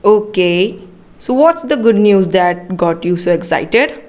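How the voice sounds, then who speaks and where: Young female performer as slow-talking Indian schoolboy. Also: medium pitch, expressive, darkish tone. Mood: friendly, curious. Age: teenager. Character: doubtful, polite schoolboy friend named Abhishek. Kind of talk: rehearsed school skit dialogue.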